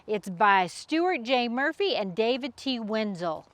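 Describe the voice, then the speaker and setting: A woman hosting a children's story time, reading aloud in an animated storybook style. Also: a little high, measured pace, no room sound.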